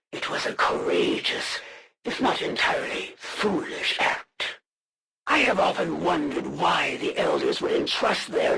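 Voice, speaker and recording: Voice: mid-range voice, gravelly, fairly bright tone, heavily acted. An actor playing a proud, honor-bound alien assassin in a video game, speaking in a formal, theatrical and menacing way. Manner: formal, haughty, deliberate, theatrical. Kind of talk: scripted video game villain monologue.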